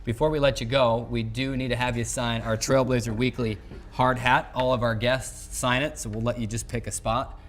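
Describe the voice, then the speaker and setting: A man's voice: mid-range voice, flat delivery, normal speed. A man hosting a sports show, interviewing in a conversational broadcast style.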